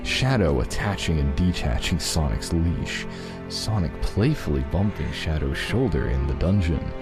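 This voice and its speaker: A man narrates a scripted story in a very deep voice. His pitch moves with lively swings from phrase to phrase. Music plays clearly behind him. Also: moderate pace. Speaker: narrator in a group fanfiction reading. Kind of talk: scripted narration mixed with casual chat. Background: background music.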